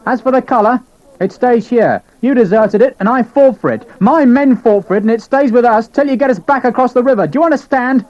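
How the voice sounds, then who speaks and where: A furious, defiant army officer , as a man reading a story puts him on barks in a high, strained voice. The audiobook recording sounds slightly dull. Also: a little fast, darkish tone. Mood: angry. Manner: raised, clipped, defiant. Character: angry young male army officer.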